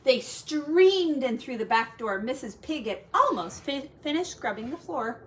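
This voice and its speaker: A woman teacher reading a children's story aloud in an expressive storytelling style. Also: high-pitched, unhurried, slight background noise.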